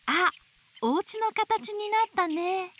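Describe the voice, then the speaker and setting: A woman reading picture books aloud to babies in a gentle, sing-song way, dwelling on playful sound words. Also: extremely high pitch, slow. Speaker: storybook narrator voicing an owl. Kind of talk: children's picture book read-aloud.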